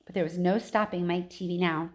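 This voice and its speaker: A woman's voice: lowish voice, fairly even intonation, a little fast, a little mellow. A woman reading a children's book aloud like a teacher, expressively, with casual chatty asides.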